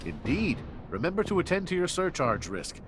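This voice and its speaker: Formal, lively-pitched instructor. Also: male voice, a little fast. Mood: dryly polite. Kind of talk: video game voice acting. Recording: gunfire and noise behind voice.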